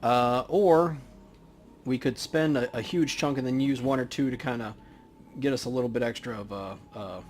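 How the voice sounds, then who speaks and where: A man's voice: mid-range voice, normal speed, a little mellow, a little hiss or hum. A man hosting a let's play, giving casual, laid-back gaming commentary as he thinks aloud.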